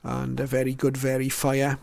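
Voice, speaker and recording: A man's voice: medium pitch, completely flat delivery, moderate pace, vocal fry, a little mellow, no room sound. A middle-aged or older man giving a casual show-and-tell narration as a hobbyist, hesitant and reflective as he goes through items one by one. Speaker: shortwave radio hobbyist presenting his collection.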